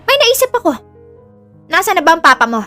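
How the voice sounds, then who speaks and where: A woman's voice: extremely high pitch, sing-song, brisk, pushed and bright, soft background music, exaggerated voice. A woman with a Filipino accent playing a spiteful, greedy, scheming mother in an audio drama. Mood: cold scheming.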